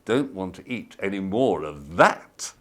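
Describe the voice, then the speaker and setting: A man's voice: animated, a little slow, fairly bright tone. A man voicing a fussy, cross young girl in a storybook reading, squealing his complaints. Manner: squealing, high-pitched complaining.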